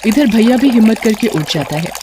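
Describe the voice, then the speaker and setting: A man's voice: high voice, expressive, a little fast, background noise. Man narrating a horror cartoon. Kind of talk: scripted storytelling narration.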